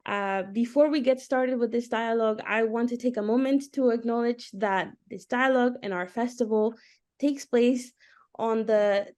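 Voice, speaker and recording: A woman with a fairly high voice opens an online festival talk. She reads the welcome and the thanks in an upbeat, formal way over a video call. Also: a little mellow. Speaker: festival managing director, moderator. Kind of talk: scripted event introduction.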